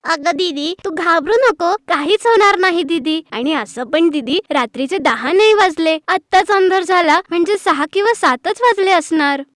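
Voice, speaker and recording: Woman voicing a cheeky, very high-pitched little girl. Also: expressive, normal speed, hardly any pauses, a bit bright, no echo, studio quality. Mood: carefree. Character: cheeky, mischievous little girl, younger of two sisters. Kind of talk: animated moral story voice acting.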